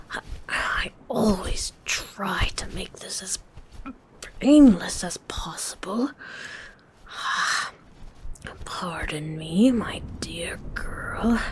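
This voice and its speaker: Slow whispering female vampire, acted by a woman. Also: mid-range voice, low-quality recording. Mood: hungry, coaxing. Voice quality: breathy whisper. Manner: slow, drawn-out, teasing menace. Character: female vampire, predatory, hungry, seductive, politely menacing. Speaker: ASMR voice performer. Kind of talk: whispered ASMR roleplay monologue.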